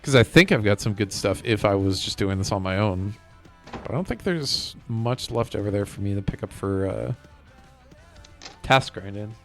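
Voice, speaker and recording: A man streaming a video game, chatting casually and rambling as he thinks out loud. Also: fairly low pitch, normal speed. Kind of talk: casual live gameplay commentary. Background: game music.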